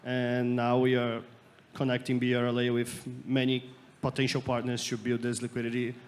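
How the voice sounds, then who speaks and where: A man from Brazil explains his company on a conference panel. His voice sits at a middle pitch and barely rises or falls. He keeps a steady, explanatory delivery. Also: moderate pace. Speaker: panelist, startup founder. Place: conference stage.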